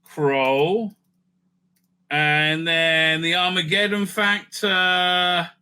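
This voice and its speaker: A man hosting a casual, off-the-cuff ranking commentary, animated and thinking aloud with frequent asides. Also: sits high, very slow, clean tone. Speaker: host ranking TV serials.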